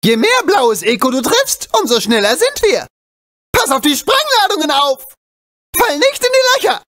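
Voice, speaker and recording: A small, wisecracking animal sidekick from a video game. The voice sits high and jumps around with very animated intonation. The delivery is excitable, cheeky and urgent, full of exclamations. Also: male voice, normal speed, exaggerated voice. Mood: alarmed, excited.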